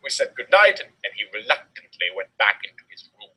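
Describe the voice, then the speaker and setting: A man's voice: mid-range voice, very animated, a little fast, pushed and bright, a little hiss or hum. A man narrating a fan fiction story as a theatrical, exaggerated comedic dramatic reading. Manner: melodramatic, exaggerated.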